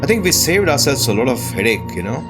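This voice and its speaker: A man hosting a game playthrough, giving casual, expressive commentary with a sense of wonder. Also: mid-range voice, fast. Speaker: host of a game playthrough channel. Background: new-age music behind the voice.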